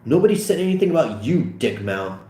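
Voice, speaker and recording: A man's voice: medium pitch, very animated, fairly quick, dark tone, voice pushed up, close to an everyday voice. A man playing a brash, foul-mouthed, pushy teenage boy in a comedy screenplay read aloud. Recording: echoey room.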